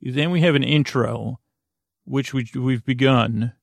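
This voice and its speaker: A man hosting a bedtime podcast, with a creaky, dulcet voice, rambling and stumbling off topic with many fillers. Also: mid-range voice, slow, dry recording. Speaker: sleep podcast host. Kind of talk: meandering bedtime podcast intro.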